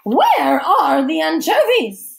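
Woman doing a pompous, high-pitched haughty matron. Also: wildly swooping pitch, exaggerated voice. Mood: haughty, indignant. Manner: pompous, drawn-out, imperious delivery. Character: haughty, stern, gushing older lady in charge of girls. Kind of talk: audiobook character voice.

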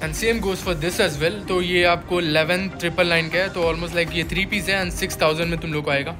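Young Indian man, higher voice, music behind. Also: fairly even intonation, normal speed. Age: young adult. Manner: mixes English words and phrases into his speech. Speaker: vlogger. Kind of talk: casual shopping vlog narration.

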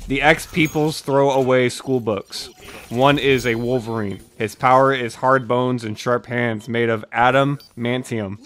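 A man's voice: medium pitch, fairly even intonation, measured pace, fairly bright tone. A young man on a livestream at home, reading absurd comedy scripts aloud. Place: dim room at home.

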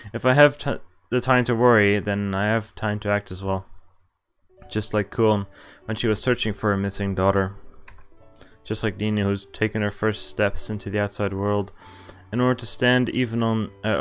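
A man's voice: fairly low pitch, level tone, normal speed, smooth, faint music behind. A young man streaming a visual novel, reading the narration aloud and cutting in with wry, exasperated asides. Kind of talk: casual reading with commentary.

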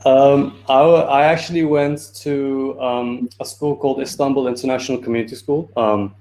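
A man's voice: medium pitch, steady tone, moderate pace, a little mellow. A man in his twenties from Turkey, speaking informally and a little hesitantly as a student presenter on a video call. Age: 20s. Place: indoor room on a video call. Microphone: video call microphone.

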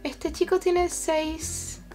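A woman's voice: extremely high pitch, little pitch movement, extremely slow, a little mellow, faint music behind. A woman in her twenties with a Venezuelan accent, chatting casually to camera as a streamer. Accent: Venezuelan Spanish. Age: 20s. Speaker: streamer and YouTuber reacting to memes and streams. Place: small bedroom with shelves, indoors. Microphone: camera-mounted shotgun microphone.

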